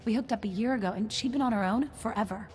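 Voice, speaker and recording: A woman's voice: a little high, quick pace, darkish tone, faint music behind, close to an everyday voice. A woman playing a tough, wry outlaw in a video game, blunt, streetwise and slangy. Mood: resigned, blunt.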